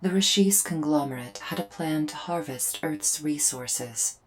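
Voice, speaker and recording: A woman voicing a solemn female alien invader in a scripted ASMR roleplay, speaking in measured, formal, deliberate phrases with pauses. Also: low-pitched, expressive. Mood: solemn, explanatory. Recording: music behind the voice. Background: music.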